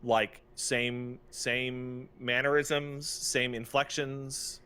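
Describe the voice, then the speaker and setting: A man's voice: medium pitch, level tone, extremely slow, sharp tone, clean tone. A man co-hosting a podcast, talking casually and with opinions about games, stressing his points emphatically. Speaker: podcast co-host.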